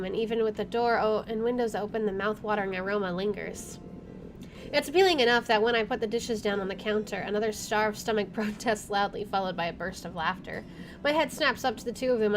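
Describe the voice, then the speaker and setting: Female let's play host: slightly high voice, reading game narration with casual asides, light background noise. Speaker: gaming streamer.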